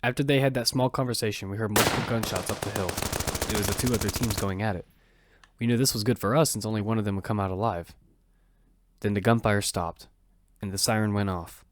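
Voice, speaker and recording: A man's voice: on the low side, steady tone, brisk, a little hiss or hum. A man narrating a first-person action drama as its protagonist, in an earnest storytelling manner.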